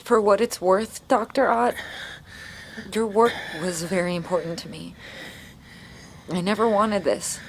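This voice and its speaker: A woman's voice: mid-range voice, measured pace, long pauses, lightly acted. An actress playing a tense, armed woman in an emergency in an audio drama, speaking in a terse, commanding way. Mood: regretful, solemn. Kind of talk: naturalistic audio drama acting. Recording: faint ambient noise behind.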